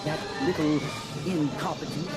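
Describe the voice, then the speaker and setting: A man's voice: on the high side, normal speed, loud music behind the voice, natural voice. A man playing an anxious, fussy humanoid protocol droid in a radio drama, formal and deferential in manner. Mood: fretful, complaining.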